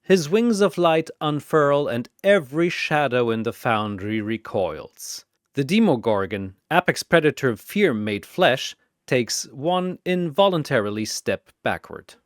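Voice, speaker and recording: A man narrating a scripted fantasy story in a theatrical, deliberate manner. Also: medium pitch, sing-song, no room sound, studio quality.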